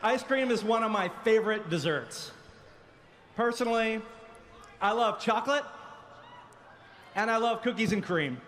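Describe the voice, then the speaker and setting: A man with a high voice gives a stage announcement. He builds deliberately and teasingly toward his point. Long hall reverb and clear background noise surround him. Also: lively intonation, a bit bright. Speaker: event presenter. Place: large event hall, on stage.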